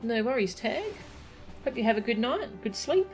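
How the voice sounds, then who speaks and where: A woman's voice: fairly high pitch, big pitch swings, moderate pace, faint music behind, rough recording. A woman chatting casually and playfully while streaming a game.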